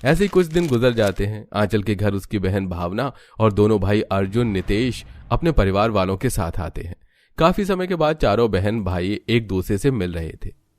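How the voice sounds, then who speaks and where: A man narrating a cartoon story in an even, storytelling manner. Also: lowish voice, normal speed.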